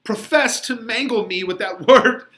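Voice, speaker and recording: A man playing a young banished lover in a dramatic Shakespeare reading, melodramatic and anguished, with shouted outbursts. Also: very high-pitched, a little slow, pitched above the performer's own voice, heavily acted. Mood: frantic despair. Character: young Romeo, banished lover, frantic and despairing.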